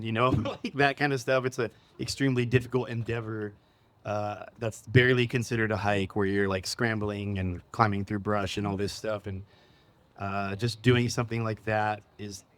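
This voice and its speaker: A man from Southern California, a guest on a live panel, talking in a laid-back, jokey way with lots of fillers. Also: fairly low pitch. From Ontario, California. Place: cafe event stage.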